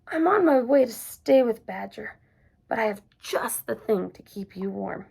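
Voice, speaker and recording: A small, brave young male hedgehog from a storybook, earnest and eager, voiced by a woman. The voice sits a little high and has a gravelly grain under it. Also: lively intonation, a little slow, darkish tone, heavily acted.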